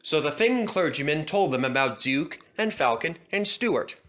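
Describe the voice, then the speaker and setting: A man's voice: fairly high pitch, lively intonation, normal speed, a bit bright. A man narrating a scripted children's story as a storybook reader.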